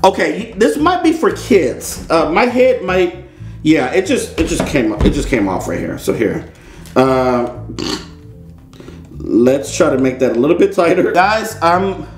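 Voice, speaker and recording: Animated, high-voiced playful American man. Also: unhurried, soft background music. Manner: playful, chuckling, theatrical. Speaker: unboxing host. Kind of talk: casual, comedic unboxing chat. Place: small indoor studio set. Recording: roomy, echoey indoor sound.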